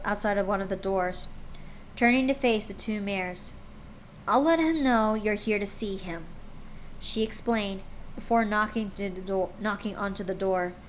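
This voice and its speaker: A woman's voice: medium pitch, a little hiss or hum, poor audio. A woman streamer reading fan fiction aloud live, casually stumbling and correcting herself.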